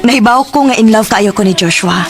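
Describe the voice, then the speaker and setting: A woman's voice: on the high side, fairly quick, over music, close to an everyday voice. A woman acting a devoted, hurt young girlfriend in a Cebuano radio drama, with a Cebuano accent. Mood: sorrowful narrating.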